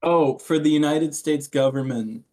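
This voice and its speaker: A man's voice: on the high side, unhurried, darkish tone. A man co-hosting a podcast in casual, joking group chat, with a dry, mock-serious way of talking. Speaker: podcast co-host.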